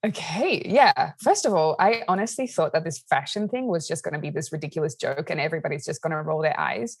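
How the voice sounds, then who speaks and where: A woman's voice: sits low, animated, a little fast, husky, dry recording, clean studio sound. An Australian woman guest chatting over a video call, bubbly and laughing as she talks. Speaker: podcast guest, YouTuber.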